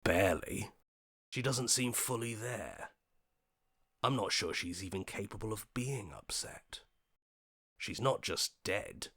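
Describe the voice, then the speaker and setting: A man voicing a level-headed, questioning hero in a video game, speaking earnestly in short deliberate lines. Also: on the low side, animated, sharp tone, husky, heavily acted. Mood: determined, thoughtful.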